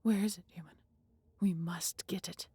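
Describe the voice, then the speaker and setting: A woman voicing a gentle, wise dragon in an intimate audio roleplay, with a breathy, half-whispered voice and measured, formal phrasing. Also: on the low side, steady tone, no echo. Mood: astonished, eager. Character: gentle, wise female dragon, fond of her human. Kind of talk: slow, intimate roleplay acting addressed to listener.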